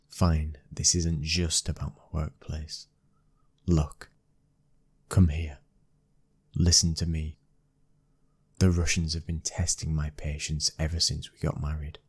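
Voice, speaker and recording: A British man playing a young mafia boss's son, a protective, controlling husband, in an intimate ASMR roleplay, with a husky, breathy voice and a commanding manner. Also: very deep voice, full character voice. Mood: exasperated. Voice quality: husky, breathy, sometimes dropping to a whisper. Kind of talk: ASMR boyfriend roleplay audio drama.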